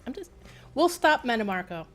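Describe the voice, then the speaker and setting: A woman's voice: a little high, big pitch swings, brisk. A woman streamer giving casual live game commentary in a wry, rambling, chatty way.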